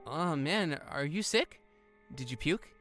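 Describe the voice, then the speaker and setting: A woman's voice: sits low, expressive, takes it slowly, fairly bright tone, soft background music, heavily acted. A woman playing a kind, concerned young boy in an audio drama, casual, a little hesitant and reassuring. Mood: worried. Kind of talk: naturalistic audio drama acting.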